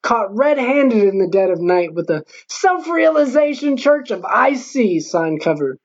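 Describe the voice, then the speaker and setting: A man's voice: sits high, sing-song, measured pace. Male audiobook narrator, slightly dull sound. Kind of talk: scripted narration of a comic novel.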